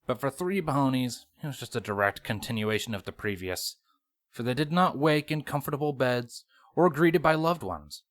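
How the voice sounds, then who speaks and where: A man's voice: mid-range voice, expressive, moderate pace. A man reading a story aloud as narrator, in a dramatic scripted style. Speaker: fan fiction narrator.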